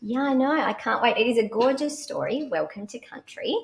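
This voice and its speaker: Animated, high-pitched Australian woman hosting kids' show. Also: a little fast, creaky. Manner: animated, exclamatory, addressing young viewers. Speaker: children's show host. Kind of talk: live children's show presenting. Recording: roomy, echoey live stream sound.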